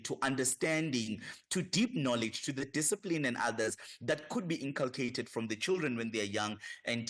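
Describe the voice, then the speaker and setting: South african man, professor guest, emphatic, mid-pitched voice. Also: a bit bright. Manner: impassioned, emphatic lists. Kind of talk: radio discussion.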